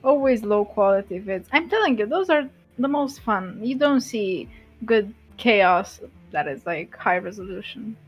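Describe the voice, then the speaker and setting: Giggly woman with a gravelly voice. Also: mid-range voice, expressive, a little slow, muffled recording, faint music behind. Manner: giggly, wry. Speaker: streamer co-host. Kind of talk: casual stream chat.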